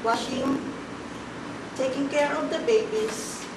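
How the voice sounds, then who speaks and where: A woman's voice: sits high, big pitch swings, takes it slowly. A woman in her twenties answering as a job applicant in a formal, rehearsed, polite manner. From Philippines. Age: 20s. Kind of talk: mock job interview. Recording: echoey room, distant mic, background noise.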